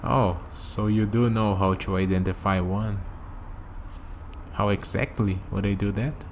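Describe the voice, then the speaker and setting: Slow, low-voiced curious young man acted by a man. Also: fairly even intonation, slight background noise. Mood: curious, skeptical. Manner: hesitant, conversational, leaves pauses for unheard replies. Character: curious, skeptical young human man meeting a vampire. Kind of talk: ASMR roleplay spoken to the listener.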